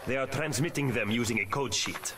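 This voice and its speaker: A man's voice: medium pitch, brisk, noisy background, lightly acted. A man with an Italian accent playing a grave, frustrated Renaissance conspirator in a video game cutscene. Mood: grave, urgent. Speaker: video game character actor. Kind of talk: dramatic game cutscene acting. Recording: game audio with music behind.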